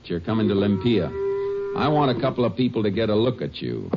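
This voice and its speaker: A deep-voiced, stern Texas Ranger. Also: male voice, very animated, quick pace. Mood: firm. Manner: firm, clipped and matter-of-fact. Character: steady, stern male Texas Ranger lawman. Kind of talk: 1950s radio drama acting. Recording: muffled vintage radio broadcast with music.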